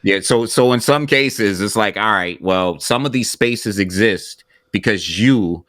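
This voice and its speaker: A man's voice: lowish voice, unhurried, fairly bright tone, no echo, studio quality. A man co-hosting a casual podcast discussion, talking animatedly with many restarts and repeated words. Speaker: podcast co-host.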